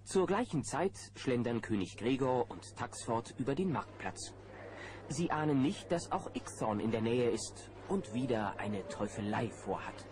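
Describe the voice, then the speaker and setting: Ein Mann als Erzähler, der eine Abenteuergeschichte für Kinder vom Manuskript vorträgt. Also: male voice, medium pitch, expressive, a little slow, lightly acted. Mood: dramatic narrating. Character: storyteller of a children's adventure. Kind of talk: scripted narration. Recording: noisy old cassette recording.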